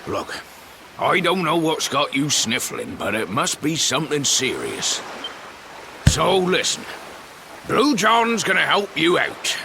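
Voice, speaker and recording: An actor playing a gruff, kindly old fisherman with folksy, excitable speech that swings from loud to soft in a game. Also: a little high, takes it slowly, noisy background, exaggerated voice. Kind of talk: game voice acting.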